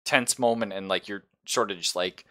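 A man's voice: on the low side, moderate pace, bright, forceful tone. A man casually narrating a tabletop roleplaying game as game master, in a chatty, conversational way.

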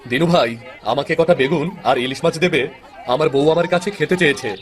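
A man's voice: on the high side, fast, a faint crowd, lightly acted. A man playing a simple-minded village husband in a cartoon folktale, childlike, earnest and whiny. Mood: meek pleading. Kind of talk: fairy-tale cartoon acting.

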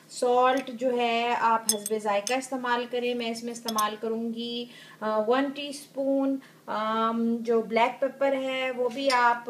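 A woman hosting a cooking show, giving unhurried step-by-step instructions in a home kitchen with frequent filler pauses. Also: a little high, a little hiss or hum.

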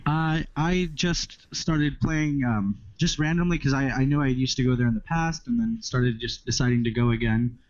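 A man's voice: medium pitch, normal speed, hardly any pauses, no room sound. A man of twenty from Southern California, a podcast guest chatting casually and rambling with restarts. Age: 20s. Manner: rambling, restarts phrases, easygoing. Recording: dry, muffled lo-fi audio.

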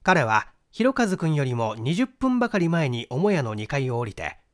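Male narrator, mystery audiobook, measured, formal, precise, lively pitch movement. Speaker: audiobook reader, stage actor. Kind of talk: scripted mystery novel narration.

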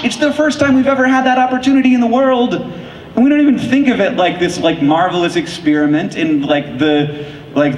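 Male keynote speaker, fairly high voice, rapid nerdy enthusiasm, heard from far back in an echoey hall with faint crowd hum. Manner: fast, enthusiastic, filler-heavy with frequent likes. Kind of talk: conference keynote talk. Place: large convention hall stage. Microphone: handheld microphone.

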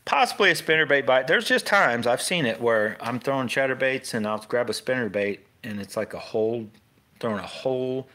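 A man's voice: mid-range voice, lively intonation, fairly quick, sharp tone. A middle-aged man with an American accent gives a casual, unscripted fishing tutorial as host, rambling informally and often interrupting himself.

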